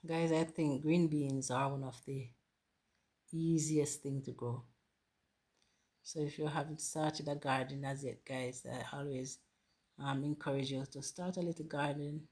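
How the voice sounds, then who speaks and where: Jamaican woman, hoarse with a flu, husky and unhurried, chatty gardening host thanking her viewers. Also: sits low, fairly even intonation. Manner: warm, grateful, addresses viewers as guys. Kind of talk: casual chat to viewers while planting. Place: home garden.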